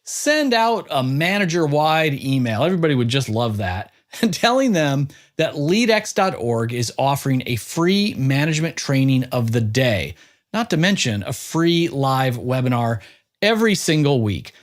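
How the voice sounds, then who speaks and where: A man's voice: mid-range voice, big pitch swings, normal speed. A man hosting an interview podcast, presenting in an upbeat, emphatic and punchy way.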